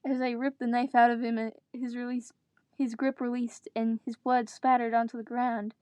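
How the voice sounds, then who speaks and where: A woman's voice: on the high side, level tone, normal speed, darkish tone, smooth, no room sound. A woman reading a story aloud dramatically, with joking asides in her own voice. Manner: breaks character to groan and joke. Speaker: reader of fan fiction.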